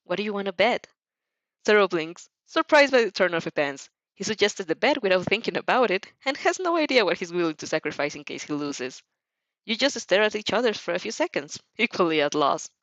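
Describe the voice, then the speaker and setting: A woman reading fan fiction aloud as an expressive narrator who also does character voices. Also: medium pitch, brisk, dry recording, lo-fi sound. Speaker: fan fiction audio reader.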